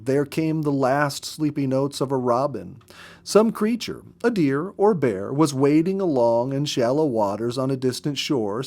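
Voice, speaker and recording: Guy reading a storybook out loud, mid pitch, expressive, takes his time w dramatic pauses. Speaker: storyteller reading a book aloud. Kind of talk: expressive narrated audiobook reading.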